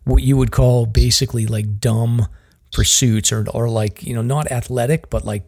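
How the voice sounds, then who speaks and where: A man's voice: medium pitch, fairly even intonation, moderate pace, darkish tone, husky. A man with a Boston accent hosting a podcast in casual chat, rambling and using lots of fillers like "like" and "um". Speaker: podcast host.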